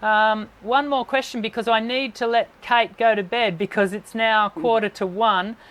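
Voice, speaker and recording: A man, a voice teacher, hosts a live stream, reading viewer questions and answering in a measured way. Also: high voice, steady tone, fairly bright tone, rough recording. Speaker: host and voice teacher. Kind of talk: live-stream show hosting.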